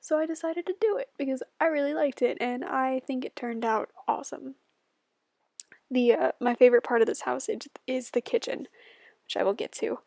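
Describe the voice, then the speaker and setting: A woman's voice: sits high, fairly even intonation, normal speed, creaky, darkish tone, no room sound, clean studio sound. A young woman in her twenties, a bit stuffy-nosed, chatting casually and giggling as she talks over her building video at home. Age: 20s. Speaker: YouTuber.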